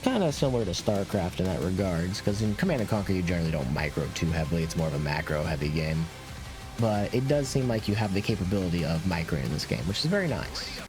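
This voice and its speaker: A man's voice: low voice, animated, fast, music in the background. A man giving casual game commentary as a reviewer. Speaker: gameplay reviewer.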